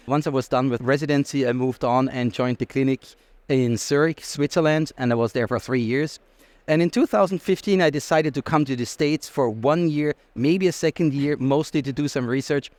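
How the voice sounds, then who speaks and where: A man's voice: medium pitch, moderate pace, darkish tone, dry recording. A man from Austria with an Austrian accent, a native German speaker, explaining things emphatically as an interview guest. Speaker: interview guest, anesthesiologist and researcher. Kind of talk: conversational expert interview.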